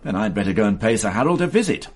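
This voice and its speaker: An actor in a radio drama playing a senior police inspector with a dry, measured, wryly authoritative manner. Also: male voice, fairly low pitch, sing-song, breathy edge. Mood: brisk, authoritative.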